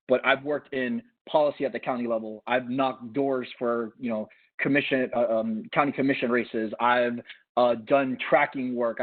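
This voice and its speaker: A man, Cuban-born and Miami-raised, tells his career story in a loose, conversational panel style. He often restarts and circles back on his own phrases. His voice sits at an ordinary pitch and comes through a tinny video call line. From Cuba, raised in Miami. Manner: rambling, many restarts and fillers.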